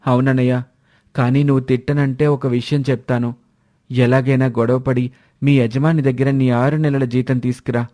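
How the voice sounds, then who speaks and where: A man's voice: medium pitch, flat delivery, normal speed, dark tone, close to an everyday voice. A man voicing an eager, respectful younger brother who talks earnestly in a family story.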